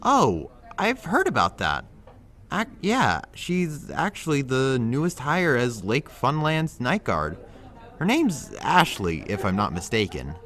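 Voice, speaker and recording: A man's voice: mid-range voice, wildly swooping pitch, slow, a bit bright, exaggerated voice. A man playing a blunt but well-meaning friend in an audio drama, casual, incredulous and chiding. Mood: concerned, reasoning. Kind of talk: conversational audio drama acting.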